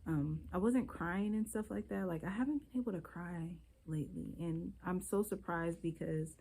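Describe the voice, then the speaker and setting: A woman's voice: lowish voice, dark tone, low-quality recording. A woman vlogger chatting casually and candidly straight to her viewers, stuffy from a cold, rambling from one thought to the next. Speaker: beauty vlogger.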